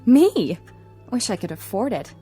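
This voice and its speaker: An actress playing a friendly, tactless neighbor in a television drama, chatty and gushing. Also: female voice, fairly high pitch, big pitch swings, unhurried, warm tone, faint music behind. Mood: delighted.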